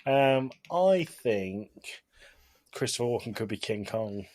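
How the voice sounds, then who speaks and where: A man's voice: medium pitch, expressive, takes it slowly, a little mellow. A man co-hosting a podcast, chatting casually with wry, joking interjections. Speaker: podcast co-host. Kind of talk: casual comedic chat.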